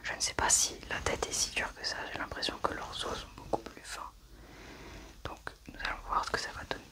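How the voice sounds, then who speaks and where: A woman doing an ASMR medical roleplay, methodically narrating each step as she works. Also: fairly quick.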